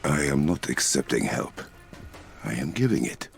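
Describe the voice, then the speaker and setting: An actor playing a proud warrior king in a video game, speaking formally and with command in a gravelly voice. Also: sing-song, normal speed, heavily acted. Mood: proud, firm. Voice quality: deep, gravelly. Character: proud, regal warrior king and hero of an African nation. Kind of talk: cinematic video game acting. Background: faint music.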